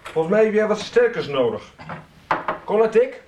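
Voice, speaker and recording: A man playing a smooth-talking, pushy and flirtatious sales manager in a radio soap, with a slick, familiar manner. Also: high-pitched, sing-song, normal speed, highs cut off. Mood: flirtatious, boastful. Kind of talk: 1990s Dutch radio soap acting. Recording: roomy, off-mic, noisy radio drama scene.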